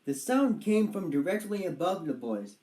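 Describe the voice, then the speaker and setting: Children's read-along narrator, expressive and scripted, mid pitch, roomy recording. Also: moderate pace. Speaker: storybook narrator.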